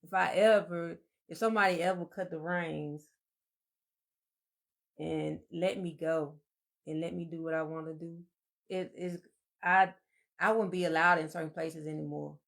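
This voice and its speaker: A soft-spoken woman, co-hosting a casual live-stream chat and telling her story in a meandering, reflective way. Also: lowish voice, fairly even intonation, moderate pace, vocal fry, long pauses.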